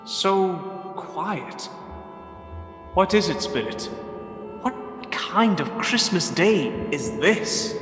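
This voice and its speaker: A man acting a frightened, remorseful old miser in a radio play, halting and imploring in a theatrical way. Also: sits high, expressive, fairly bright tone, heavily acted. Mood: fearful, pleading. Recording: roomy long reverb over clear music.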